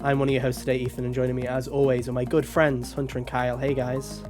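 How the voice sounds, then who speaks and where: A man with a raspy, slightly hoarse voice hosts a casual gaming podcast, joking and rambling. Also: medium pitch, flat delivery, fast, over music. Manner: joking, self-mocking, rambling. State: hoarse, recovering from covid. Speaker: podcast host.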